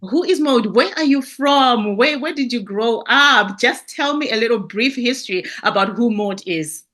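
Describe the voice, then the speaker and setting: Woman interview host, talky and laughing a lot, voice a bit high. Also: lively intonation, moderate pace, fairly bright tone. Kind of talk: casual interview.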